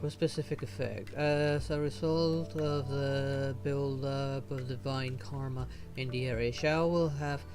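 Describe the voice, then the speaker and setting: A man's voice: medium pitch, little pitch movement, measured pace, hardly any pauses. Man streaming a game over music. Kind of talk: casual gameplay commentary.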